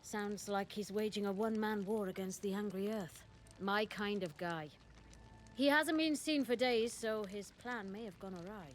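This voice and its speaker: An actress playing a pragmatic, plucky ranger comrade in a video game, casual, confident and matter-of-fact. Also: female voice, mid-range voice, moderate pace, soft background music, close to an everyday voice. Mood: wry, purposeful. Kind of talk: video game character dialogue.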